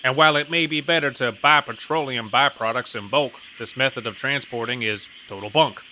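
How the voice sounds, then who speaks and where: A man's voice: medium pitch, a little fast, pushed and bright, close to an everyday voice. A man playing a pompous traffic cop who rattles off strings of alliteration in an officious tone. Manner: officious, tongue-twisting, rising to rants.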